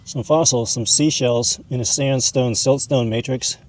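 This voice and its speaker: A man's voice: mid-range voice, fairly even intonation, a little slow, slight background noise, poor audio. A man hosting an informal educational video, explaining and showing things in a folksy, conversational way. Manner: folksy, calls objects "this guy". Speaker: host, mineral hobbyist educator.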